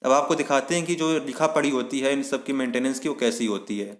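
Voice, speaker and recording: A man's voice: mid-range voice, fairly quick. A young man presenting an exam-coaching explainer, speaking steadily and restating points in other words. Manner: steady explaining, often rephrasing with "matlab". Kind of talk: explainer on a job profile. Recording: echoey room.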